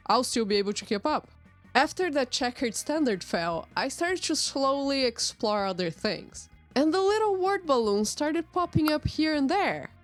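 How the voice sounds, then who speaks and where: A woman whose first language is Portuguese narrates a drawing video in a relaxed, wry and self-deprecating voice-over. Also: a little high. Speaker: artist and channel host.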